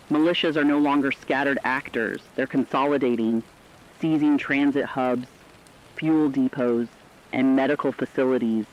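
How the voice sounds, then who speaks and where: Male actor as a somber radio drama reporter, mid-pitched, urgent and deliberate, slightly muffled lo-fi recording. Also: slight background noise, natural voice. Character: somber radio field reporter describing collapse. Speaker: news correspondent. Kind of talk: scripted emergency radio broadcast.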